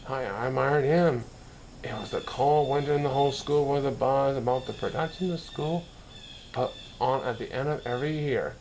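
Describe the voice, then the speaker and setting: Creaky-voiced man reading a story aloud. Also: medium pitch, moderate pace, darkish tone, a little hiss or hum, rough recording. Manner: occasional stumbles and self-corrections while reading. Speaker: story reader. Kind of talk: read-aloud children's story narration.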